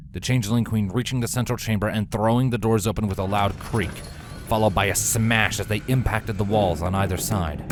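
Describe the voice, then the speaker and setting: A man reading a fantasy story as narrator in a scripted, dramatic style with deliberate pauses. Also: on the low side, a little mellow, a little hiss or hum. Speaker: fan fiction narrator. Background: faint background music.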